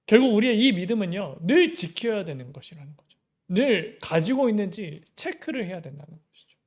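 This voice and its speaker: A man's voice: high-pitched, big pitch swings, fairly quick. A young adult male pastor giving a Sunday sermon in an easy, conversational way, with many rhetorical questions.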